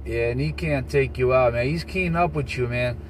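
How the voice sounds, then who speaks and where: A man's voice: mid-range voice, moderate pace, slight background noise. A man chatting casually as a CB radio operator, repeating his phrases and calling people man and brother.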